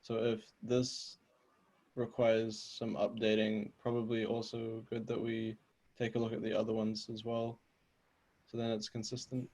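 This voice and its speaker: A man's voice: fairly low pitch, completely flat delivery, measured pace, darkish tone. A man on a video call speaking hesitantly with many fillers in a casual meeting. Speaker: meeting participant.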